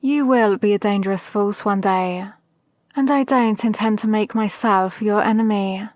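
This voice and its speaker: A woman playing a scheming, power-hungry villain mentor in an ASMR roleplay, gently menacing, with measured, formal delivery. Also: mid-range voice, no room sound, clean studio sound, natural voice. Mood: calm, calculating. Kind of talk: scripted ASMR roleplay monologue.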